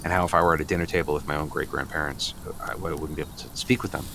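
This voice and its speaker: A man acts out a nervous, over-apologetic suitor with a deep voice. He stumbles and repeats himself, eager to agree, in an improvised comedy radio play. There is noticeable background noise. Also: fairly even intonation, very fast, vocal fry, sharp tone, full character voice. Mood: anxious backpedaling. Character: flustered, over-apologetic boyfriend asking for a blessing.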